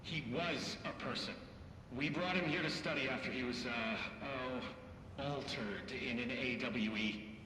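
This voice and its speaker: An actor playing a nervous, excitable surveillance staffer who talks over an intercom in a fumbling, awkward, chatty way. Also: male voice, mid-range voice, a little slow. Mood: anxious. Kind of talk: naturalistic game cutscene dialogue. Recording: echoey intercom in big hall, faint noise.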